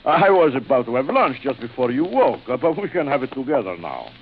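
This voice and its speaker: An actor playing a suave, proud general and big-game hunter, speaking in a courtly, theatrical way in a vintage radio drama. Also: male voice, mid-range voice, very animated, brisk. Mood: genial, polite. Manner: courtly, formal, theatrical, savouring his words. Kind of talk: 1940s radio acting. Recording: muffled, noisy vintage radio recording.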